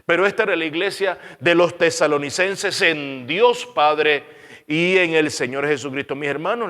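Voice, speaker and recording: Earnest Caracas pastor preaching in a hall. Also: male voice, a little high. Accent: Venezuelan, Caracas. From Venezuela. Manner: earnest, repeats phrases for emphasis, trails off quietly at ends. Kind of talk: sermon.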